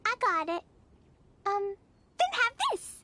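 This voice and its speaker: A woman voicing a sweet, excitable little girl in a video game, with a childlike, earnest manner. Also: very high voice, slow, full character voice.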